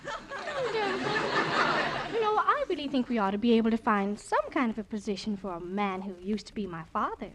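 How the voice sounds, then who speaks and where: A woman's voice: fairly high pitch, very animated, moderate pace, fairly bright tone, some chatter behind, rough recording. An actress playing a sweet, girlish young princess with a coy manner in a 1940s radio musical. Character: sweet young princess, laundress's adopted daughter. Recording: old radio broadcast with orchestra.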